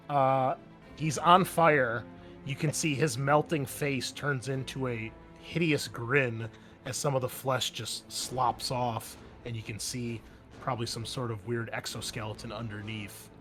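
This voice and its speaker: A man's voice: mid-range voice, steady tone, takes it slowly, fairly bright tone. A man running a tabletop roleplaying game as game master, narrating in a casual, descriptive, wry manner. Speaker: game master and show host. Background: music behind the voice.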